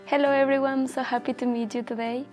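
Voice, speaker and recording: Young woman telling her story, pretty monotone, says "like" and "um" a lot, quiet music under it. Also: high voice, fast. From Ecuador. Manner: earnest, reflective, with frequent fillers like "like" and "um". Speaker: guest. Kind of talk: personal testimony in a casual chat.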